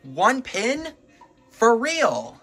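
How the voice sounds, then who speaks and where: A man with a bright, forceful voice gives casual commentary over a video game. He is excitable and reacts aloud as he plays. He is recorded at home, with faint game music behind him. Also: extremely high pitch, very slow. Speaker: gaming video host. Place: indoors at home, filming a TV.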